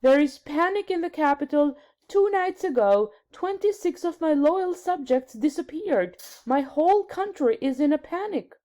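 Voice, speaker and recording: Storybook monarch, male, flustered and irritable, played by a woman, pitched high with indignant agitation. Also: expressive, moderate pace, few pauses, mellow. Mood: panicked. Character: testy, panicked adult male king of Sweden.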